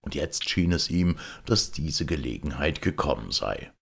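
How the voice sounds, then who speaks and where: A male audiobook narrator reads scripted literature expressively. His voice is low and creaky, with a husky edge and lively, wide-ranging intonation. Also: unhurried. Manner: expressive storytelling.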